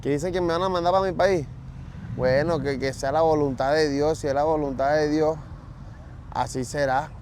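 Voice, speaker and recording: Venezuelan man, higher voice, street noise. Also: normal speed. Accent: Venezuelan Spanish. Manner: relaxed, matter-of-fact, speaks of God's will. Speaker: interviewee, job seeker. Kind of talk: street interview answers. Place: outdoors at a store parking lot. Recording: outdoor street noise, traffic.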